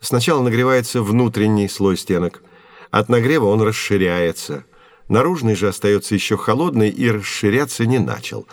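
Science teacher, played by a man for educational audio drama: low, patient, articulate, recorded dry. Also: animated, dry recording, natural voice. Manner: measured, explanatory, articulate. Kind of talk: scripted educational audio play.

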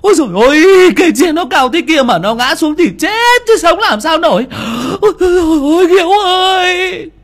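A man's voice: high-pitched, moderate pace, pauses often, voice pushed up, lightly acted. Man putting on a wailing older village mother. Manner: wailing, lamenting.

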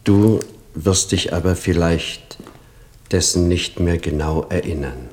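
Slow, low-voiced formal brother. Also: male voice, a little mellow, slight background noise. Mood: formal devotion. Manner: stilted, ceremonious, measured. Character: formal, dutiful stepbrother reading aloud his letter. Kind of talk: German radio play acting.

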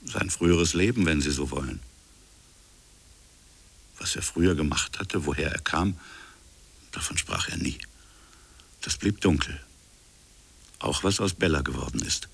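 A reflective colonial official, speaks in a very low, creaky voice with a husky grain. He tells his story as an intimate radio-drama monologue, in short musing fragments broken by pauses. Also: animated, exaggerated voice. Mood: guarded, recollecting. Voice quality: gravelly, husky. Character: reflective French colonial official recounting a buried affair. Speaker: narrator and protagonist.

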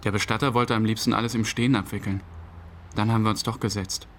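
An actor playing a numb, grieving widower who recalls events tersely in an interview-like radio drama. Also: male voice, fairly low pitch, little pitch movement, quick pace, a bit bright, a little hiss or hum. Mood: numb, bitter.

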